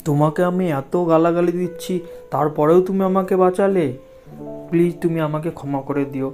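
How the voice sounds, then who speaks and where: A man acts out a commanding village landlord from a cartoon story. His voice sits a little high for a man, stern and quick to temper. Music plays underneath. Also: steady tone, mellow, natural voice. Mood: remorseful.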